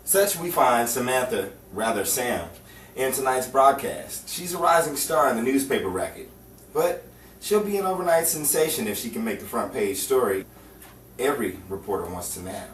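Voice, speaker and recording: A man playing the deliberate, dramatic narrator of a noir newspaper tale in an old-time radio drama. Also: mid-range voice, lively intonation, lightly acted. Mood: measured, dramatic. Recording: distant roomy recording with faint noise.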